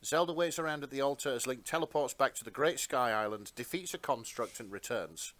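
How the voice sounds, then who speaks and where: A man's voice: mid-range voice, animated, a little fast, fairly bright tone. A man narrating fan fiction in a dramatic reading style.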